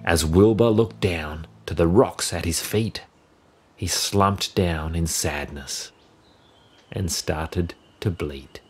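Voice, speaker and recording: A man narrating a rhyming children's story in an expressive, measured storytelling style. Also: deep voice, over music. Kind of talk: scripted rhyming children's story read-aloud.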